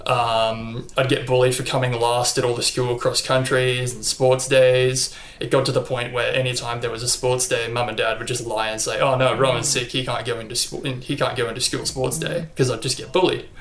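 A young man with an Australian accent chats easily as an interview guest, filling his answers with ums and likes. His voice sits at an ordinary pitch for a man. The room around him rings with noticeable echo. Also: normal speed, hardly any pauses. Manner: relaxed, chatty, frequent ums and likes. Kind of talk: casual interview chat. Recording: echoey room.

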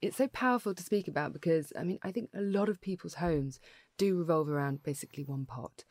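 A woman's voice: fairly low pitch, a little fast, darkish tone, no echo. A woman hosting a podcast, asking her guest inquisitive, open questions. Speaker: podcast host, interviewer. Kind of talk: interview questions.

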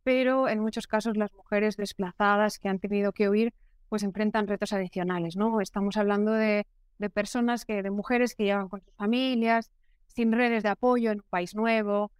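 Earnest woman, smooth clear voice. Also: mid-range voice, no echo, studio quality. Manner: measured, earnest, explanatory. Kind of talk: interview answer.

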